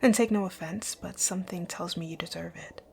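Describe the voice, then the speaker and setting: A woman playing a rich, dominant company owner in an ASMR roleplay, speaking in a composed, commanding, breathy near-whisper. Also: lowish voice, fairly quick, darkish tone, slight background noise. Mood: softly admiring. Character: rich, bossy, dominant female company owner, curt yet flirtatious. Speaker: audio roleplay voice actor. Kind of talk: ASMR roleplay, scripted one-sided dialogue.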